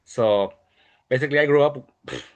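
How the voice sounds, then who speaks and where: Interview guest: Mexican man, early thirties, native Spanish speaker, medium-pitched, casual delivery. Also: normal speed. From Mexico City and State of Mexico, Mexico.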